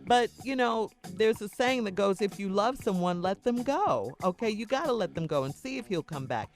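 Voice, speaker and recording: An American woman hosts a morning radio advice segment in a conversational way. She is frank and admonishing, with a middling pitch for a woman. Music plays under her voice. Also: lively intonation, normal speed. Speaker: radio host.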